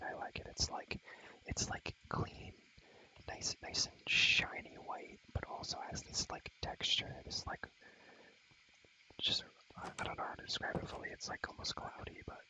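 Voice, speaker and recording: A man's voice: very high-pitched, bright, forceful tone, low-quality recording. A young man whispering close to the microphone in a casual, rambling ASMR gaming chat, repeating words and phrases and using slang. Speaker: ASMR creator showing a Minecraft world.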